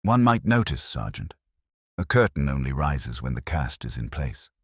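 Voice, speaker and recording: A man voicing a composed, cryptic senior police inspector in an audiobook-style mystery, speaking in a measured, deliberate way. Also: very deep voice, very animated, husky, dry recording, studio quality, exaggerated voice. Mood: knowing, cryptic.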